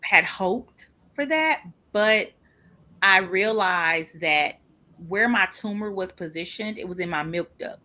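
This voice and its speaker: A woman's voice: mid-range voice, lively intonation, takes it slowly, sharp tone. A woman guest speaking candidly and animatedly in an interview about her own experience. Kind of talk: casual interview talk.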